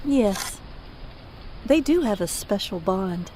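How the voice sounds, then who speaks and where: A woman's voice: mid-range voice, lively intonation, takes it slowly, mellow, a little hiss or hum, low-quality recording. A woman playing a weary, lonely mother of a troubled boy in an audio drama. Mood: confiding, resigned.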